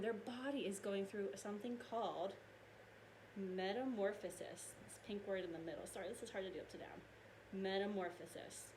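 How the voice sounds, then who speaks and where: A woman teacher reading aloud and explaining science to young children in an expressive, explanatory way. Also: a little high, a little hiss or hum, low-quality recording.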